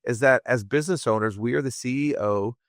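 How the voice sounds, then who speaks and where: A man co-hosting a finance podcast, upbeat and fired up, with a raspy voice. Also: a little fast, no room sound, studio quality. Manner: upbeat, hyped, salesy pitch. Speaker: podcast co-host. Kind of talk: energetic podcast chat.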